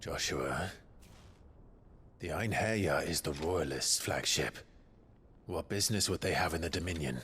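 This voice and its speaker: An actor playing a grave, protective noble knight in a fantasy game, measured and earnest with a low, husky murmur. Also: male voice, fairly bright tone, exaggerated voice. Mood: solemn, earnest. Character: grave, deep-voiced noble knight, protective elder brother. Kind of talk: dramatic fantasy game acting.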